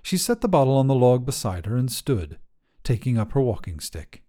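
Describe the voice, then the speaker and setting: Man, short story narration, mellow and relaxed timbre, animated pitch movement. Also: normal speed. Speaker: fiction podcast narrator.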